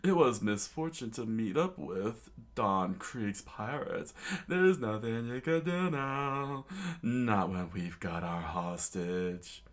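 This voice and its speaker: A man voicing a boastful, menacing pirate fighter with a slow taunting delivery. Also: lowish voice, lively intonation.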